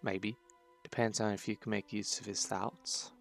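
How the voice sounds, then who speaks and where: Man playing a gravelly sly criminal dragon envoy. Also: fairly low pitch, level tone, moderate pace, fairly bright tone. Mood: sly, courteous. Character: sly young male outlaw dragon envoy, insinuating. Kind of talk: audio drama acting. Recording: background music under the voice.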